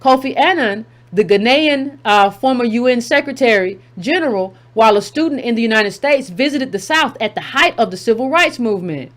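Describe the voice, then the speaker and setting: A woman with a somewhat high-pitched voice reads a passage aloud to her audience. She stops often to comment in her own words, and she repeats key phrases to drive them home. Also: lively intonation, normal speed, few pauses. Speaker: commentary channel host. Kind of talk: reading a text aloud with running commentary.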